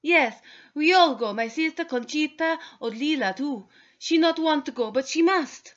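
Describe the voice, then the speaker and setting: A woman's voice: sits high, moderate pace, voice pushed up, lightly acted. A woman voicing an anxious, kind housemaid who speaks broken English. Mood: earnest. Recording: dull lo-fi recording.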